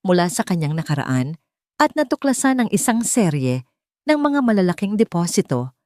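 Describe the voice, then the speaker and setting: A woman's voice: lowish voice, sing-song, normal speed, mellow, no room sound, studio quality. A woman with a Filipino accent reading a dramatic romance story as a scripted audiobook narration.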